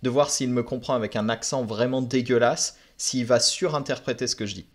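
A man's voice: mid-range voice, brisk. A young French man, a language teacher, reviewing apps in a casual vlog, frank and thinking aloud with many fillers. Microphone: camera mic.

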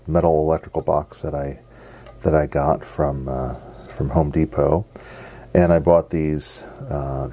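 Very deep, gravelly man. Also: dark tone. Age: middle-aged. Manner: halting, hesitant, unscripted. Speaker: radio hobbyist presenter. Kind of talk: casual hands-on demonstration. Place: basement radio workshop, indoors. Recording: noisy home basement recording.

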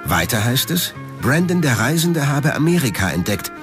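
A man's voice: mid-range voice, sing-song, moderate pace, over music. A man reading scripted documentary narration as the narrator of a history programme.

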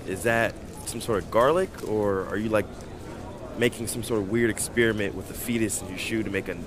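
Young male comic sidekick for a basketball game, voiced by a man: medium pitch, goofy, playful, clingy, rambling slang. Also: brisk, a crowd in the background, close to an everyday voice. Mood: mock serious. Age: young adult. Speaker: rookie teammate. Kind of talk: comedic video game cutscene acting.

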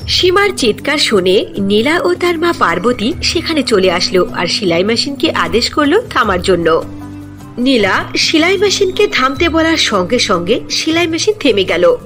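A woman's voice: fairly high pitch, big pitch swings, normal speed, fairly bright tone. A woman narrating a scripted fairy-tale cartoon as its storyteller. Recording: music behind the voice.

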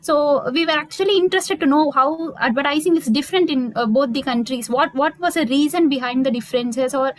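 A woman's voice: sits high, fairly quick, hardly any pauses, no echo, low-quality recording. A woman student answering on a video call panel, formal and hesitant with many fillers.

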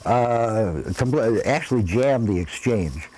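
An American man in his sixties reminiscing in an interview, reflective and halting as he searches for his words. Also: medium pitch, lively intonation, dark tone, slightly rough, poor audio. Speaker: former radio engineer interviewed. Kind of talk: interview recollection. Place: indoor room, seated.

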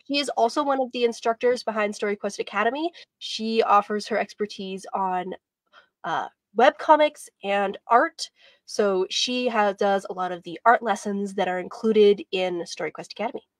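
A woman's voice: mid-range voice, moderate pace, no echo. A young woman hosting a video stream and reading stories aloud in a storybook style. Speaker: host and writing instructor. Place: indoors by bookshelves, on a video stream.

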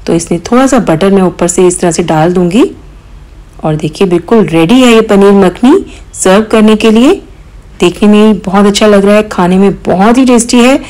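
A woman hosting a cooking channel, calmly explaining each step of a recipe in voice-over, with occasional small hesitations. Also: medium pitch, low-quality recording. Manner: calm step-by-step instruction with mild hesitations.

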